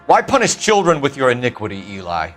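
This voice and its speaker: Righteous, animated American lawman. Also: medium pitch, quick pace, soft background music. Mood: accusing, stern. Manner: probing, stern, precise. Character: righteous proud police detective and wartime officer. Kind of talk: dramatic video game acting.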